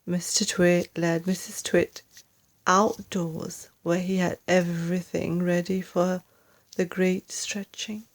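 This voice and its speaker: A woman narrating a children's story in a deliberate, expressive storytelling manner. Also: fairly low pitch. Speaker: storybook reader, English teacher.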